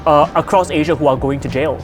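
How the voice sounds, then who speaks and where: Quick, jokey comedian man from Singapore. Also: fairly high pitch, over music. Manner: quick, jokey, deadpan asides, many "like"s. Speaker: stand-up comedian, guest. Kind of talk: casual interview chat. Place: outdoors on a rooftop.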